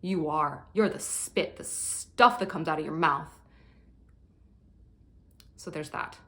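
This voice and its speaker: A woman's voice: sits low, takes it slowly, fairly bright tone, full character voice. A woman performing a dramatic monologue as a blunt, exasperated scold with a sarcastic, cutting manner. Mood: harsh. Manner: sarcastic, cutting, foul-mouthed.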